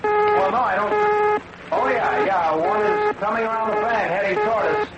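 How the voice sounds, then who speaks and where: A wry, skeptical lab partner. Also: male voice, very high-pitched, huge pitch swings, moderate pace, gravelly, lo-fi sound, full character voice. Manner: wry, incredulous. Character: adult male lab partner, skeptical and joking. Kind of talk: 1940s radio drama acting. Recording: old radio recording with loud hiss.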